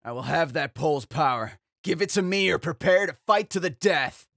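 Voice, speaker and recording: A man's voice: fairly high pitch, animated, moderate pace, vocal fry, fairly bright tone, no echo, studio quality, pitched above the performer's own voice. A man voicing a cocky young bandit in melodramatic old anime dub style. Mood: threatening.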